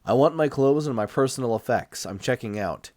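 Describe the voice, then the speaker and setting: A man's voice: mid-range voice, a little fast, darkish tone, no room sound, studio quality, voice pushed up, lightly acted. Man playing a blunt, tough, demanding patient. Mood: commanding. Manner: blunt, commanding, threatening. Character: tough, demanding, sardonic escaped male patient. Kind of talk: audiobook character dialogue.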